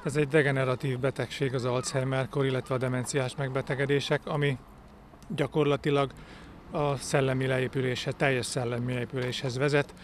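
A man's voice: mid-range voice, little pitch movement. A middle-aged man giving an interview in a hesitant, matter-of-fact way into a handheld microphone outdoors. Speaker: interviewee, club organiser. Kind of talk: spoken interview. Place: outdoors in a town square. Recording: outdoor urban ambience, clear background noise.

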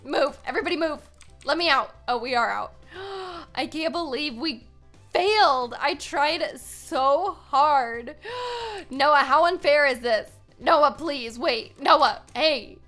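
A woman in her twenties with an American accent, giving excitable, playfully dramatic gameplay commentary and chatting casually to viewers at a close mic in a small room. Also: high voice, slow pace, faint music behind. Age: 20s. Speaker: gaming video host. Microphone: desk microphone, close.

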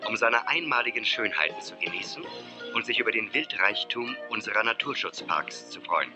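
A man's voice: on the low side, animated, normal speed, lightly acted. Man as formal lecturer over music. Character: formal lecturer at a slide show. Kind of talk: children's audio drama acting, speech delivery.

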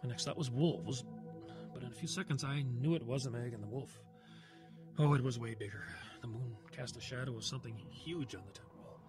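Music plays behind a haunted male survivor of the wilderness , played by an actor. He recounts his terror in a mid-pitched voice, halting and then swelling dramatically, in the manner of an audio horror drama. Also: close to an everyday voice. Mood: grim, tense.

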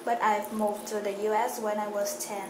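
An eighteen-year-old woman from Ho Chi Minh City speaking slowly and carefully in a scripted student interview. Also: mid-range voice, flat delivery, a crowd in the background. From Vietnam, Ho Chi Minh City. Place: indoors, plain wall. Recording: roomy echo with music under.

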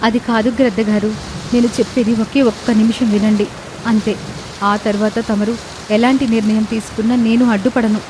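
A woman's voice: on the high side, flat delivery, moderate pace, natural voice. A woman playing an aggrieved, vengeful wife sparrow in a bird cartoon, melodramatic and pleading, over hissy noise.

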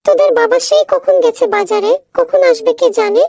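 Squeaky, creaky little mother bird. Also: fairly quick, dark tone, dry recording, clean studio sound. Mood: grumbling. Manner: scolding, complaining. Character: nagging tiny mother bird, fed up with her husband.

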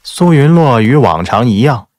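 A man's voice: medium pitch, big pitch swings, moderate pace, a little mellow. A man reading scripted dramatic narration from a romance novel. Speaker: audiobook narrator.

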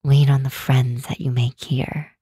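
Woman doing a really low mellow demon queen, soft and soothing, regal, protective asmr roleplay. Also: level tone, no echo, full character voice. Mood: encouraging, firm. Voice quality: soft, breathy, hushed. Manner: slow, deliberate, soothing. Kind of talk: ASMR roleplay, comforting monologue.

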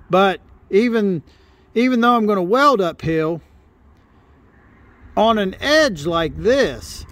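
A middle-aged man with a fairly high voice explains his welding work outdoors in a gravel yard. He talks in a plain, practical way, circling back and restarting phrases as he goes. The camera sits close to his face. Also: fairly bright tone. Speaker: welder teaching on camera. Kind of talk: hands-on welding tutorial.

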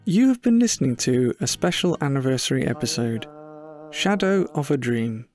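A male announcer delivers scripted drama credits. His voice sits at a medium pitch, with faint music behind it. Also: animated, normal speed, a little mellow.

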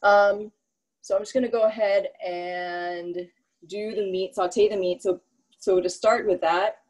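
A woman who grew up in the Philippines teaching a casual cooking class over a video call from her home kitchen, talking in a relaxed, rambling way with many fillers. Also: mid-range voice, measured pace. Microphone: laptop webcam on a video call.

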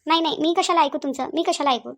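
Woman acting high-pitched, stubborn cartoon city wife. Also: fast talker, creaky. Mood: defiant. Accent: Marathi of Vidarbha. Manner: insistent, argumentative, repeats questions. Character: stubborn city wife and mother, indignant, bossy. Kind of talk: cartoon dialogue.

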